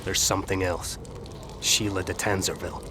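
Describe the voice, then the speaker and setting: A man's voice: deep voice, steady tone, normal speed, background noise, lightly acted. A man acting a bold, impatient assassin in a video game, talking in a blunt, sardonic way. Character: gruff male assassin, bold, impatient for action. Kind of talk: dramatic video game dialogue.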